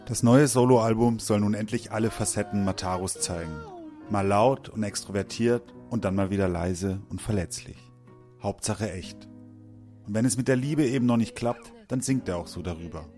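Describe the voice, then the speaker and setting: A man reading a scripted narration for a culture report in a measured, even way. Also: lowish voice, soft background music.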